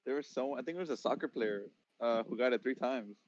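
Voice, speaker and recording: A man of twenty, a podcast guest, telling stories in a relaxed casual chat. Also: medium pitch, fast talker, muffled recording. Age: 20s.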